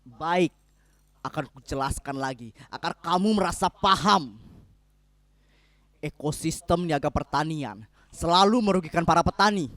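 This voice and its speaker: A man performing a dramatic reading as a stubborn, pessimistic husband, declamatory and rhetorical with dramatic pauses. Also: a little high, fairly bright tone, no room sound, close to an everyday voice. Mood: insistent, explaining.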